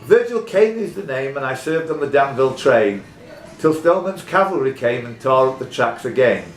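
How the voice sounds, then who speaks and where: A man's voice: mid-range voice, sing-song, normal speed. A man hosting a live pub quiz, reading out questions and trading jokey, teasing banter with the contestants. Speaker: quiz host and question reader. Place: echoey pub room. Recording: echoey room with audience noise. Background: crowd of quiz players.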